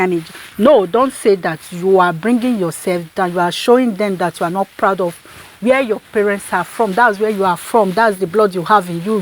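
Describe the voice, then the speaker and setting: A woman's voice: medium pitch, rough recording. A woman host giving her opinion in an insistent, repetitive way, restarting her sentences often. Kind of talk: commentary.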